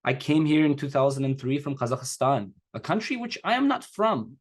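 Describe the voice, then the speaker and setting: A man's voice: a little high, lively intonation, moderate pace. Earnest man speaking over a video call. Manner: casual, earnest, conversational with a few laughs. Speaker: secondary teacher and speaker. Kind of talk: personal talk to an audience. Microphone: remote video call.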